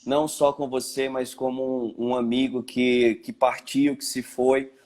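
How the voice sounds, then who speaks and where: A man's voice: mid-range voice, little pitch movement, measured pace, darkish tone. Guy in his 30s w/ pernambuco accent, pastor, emotional and thankful, rambles w lots of "né". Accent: Pernambuco Portuguese, slightly mixed. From Caruaru, Brazil. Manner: emotional, grateful, chatty with filler "né" and "cara". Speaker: pastor, invited guest. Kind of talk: heartfelt casual chat on a church livestream.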